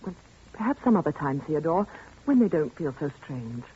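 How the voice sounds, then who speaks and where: An actress playing a gentle, genteel family friend in a vintage radio drama, speaking in soft, soothing tones. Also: female voice, low voice, big pitch swings, normal speed, husky, exaggerated voice. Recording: tinny old radio recording with music.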